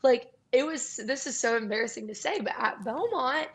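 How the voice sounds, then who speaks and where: A young woman with a naturally raspy voice sits as a guest on an interview show. She talks in a loose, chatty way full of little fillers, her pitch sitting a touch high. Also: expressive, normal speed. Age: young adult. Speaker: guest singer-songwriter. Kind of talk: casual interview storytelling.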